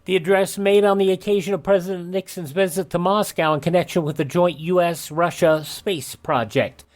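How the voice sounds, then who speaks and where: A man's voice: high voice, fairly even intonation, normal speed. American man hosting a radio almanac. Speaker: radio show host. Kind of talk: radio almanac narration with off-script asides.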